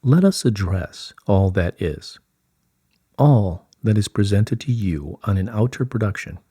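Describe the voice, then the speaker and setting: A man reading the part of a serene, wise collective of light beings in formal, measured, solemn phrasing from a scripted dialogue. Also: lowish voice, expressive, mellow, slightly rough, no room sound, studio quality. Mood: solemn reassurance. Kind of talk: scripted channelled dialogue read aloud.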